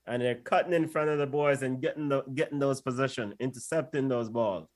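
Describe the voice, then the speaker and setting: A man's voice: mid-range voice, fairly quick. A man doing casual play-by-play on a watch-along stream, and often pleading with the players and the referee. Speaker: co-host and match commentator.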